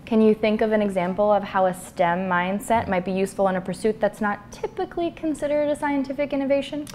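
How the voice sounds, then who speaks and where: A young adult woman hosting an interview in an office, reading a scripted introduction and asking questions in a polished, measured delivery. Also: mid-range voice, a little mellow, slight background noise. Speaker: interview host. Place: office with bookshelves. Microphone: clip-on lapel mic.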